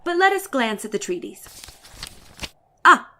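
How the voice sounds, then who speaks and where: A woman with a very high, bright voice performs a dramatic reading of a classic story. Her delivery is theatrical and emphatic, with precise, formal phrasing. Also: big pitch swings, a little slow. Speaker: reader of a short story.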